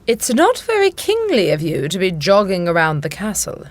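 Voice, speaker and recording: A woman's voice: medium pitch, huge pitch swings, normal speed. A woman playing a middle-aged widowed queen and protective mother in an audio drama, with an imperious, reproachful manner. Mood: disapproving. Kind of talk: modern audio drama acting.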